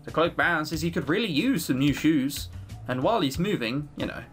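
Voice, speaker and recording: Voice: mid-range voice, animated, normal speed, faint music behind, lightly acted. A game commentator voicing an offhand poor boy who tells a folk tale in blunt, slangy words. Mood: offhand storytelling.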